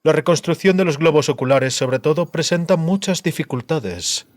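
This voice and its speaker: Cruel male sorcerer, acted by a man, menacing, coldly gloating, theatrical, measured, fantasy audiobook villain, dry sound. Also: a little high, dry recording, close to an everyday voice. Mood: cold, resentful.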